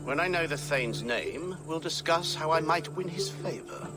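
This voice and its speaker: A scheming older churchman from a video game, played by a male actor. His voice is fairly high and very animated, wry and full of theatrical self-importance. Music runs underneath. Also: normal speed, close to an everyday voice. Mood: scheming, haughty. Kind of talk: video game cutscene acting.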